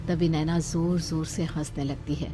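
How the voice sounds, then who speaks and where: A woman's voice: deep voice, fairly even intonation, moderate pace, over music. A woman giving dramatic scripted narration for a story.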